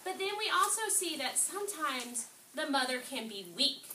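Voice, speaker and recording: A roomy, somewhat distant recording with clear background noise carries a woman teacher's voice. She reads aloud and instructs in a fairly high voice, stopping to put questions to her young students. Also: very animated, moderate pace, bright, forceful tone. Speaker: fifth-grade teacher. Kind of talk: classroom read-aloud and instruction. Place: classroom.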